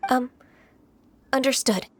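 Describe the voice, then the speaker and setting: A woman voicing a gentle, earnest young half-qilin adeptus in a video game, speaking politely and a little hesitantly. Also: high-pitched, fairly even intonation, slow, noisy background. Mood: dutiful.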